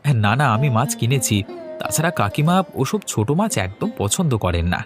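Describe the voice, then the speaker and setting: A man voices a timid young servant in a cartoon. He talks fast and earnestly, eager to please, over background music. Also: medium pitch, sing-song, close to an everyday voice. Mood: friendly. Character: meek, good-natured orphaned servant youth. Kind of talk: Bengali cartoon voice acting.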